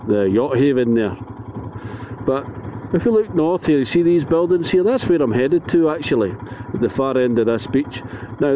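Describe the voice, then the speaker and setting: A Scottish man narrating casually from a motorbike as a chatty tour guide, often checking in with "yeah?". He rides outdoors, speaking through a helmet mic. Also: on the high side. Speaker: motovlogger. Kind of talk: casual ride-along narration. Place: outdoors on a moving motorbike, then stopped by a roadside. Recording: muffled, with loud wind and engine noise.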